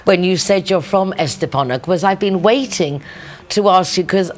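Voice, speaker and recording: Woman TV host, low-ish voice, eager interviewer. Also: normal speed.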